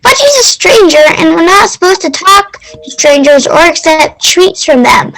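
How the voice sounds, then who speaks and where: A woman's voice: very high-pitched, animated, moderate pace, gravelly, a bit bright, slight background noise, heavily acted, rough recording. A woman playing a defensive, fibbing young girl who protests insistently in a readers theater play. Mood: indignant, dramatic.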